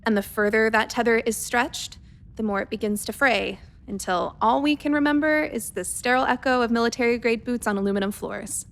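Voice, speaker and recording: Methodical spaceship doctor, higher voice. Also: female voice, brisk, creaky, fairly bright tone. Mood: clinical, measured. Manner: clinical, precise, hesitant. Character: methodical, worried female spaceship doctor keeping research log. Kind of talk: radio play monologue, dictated log.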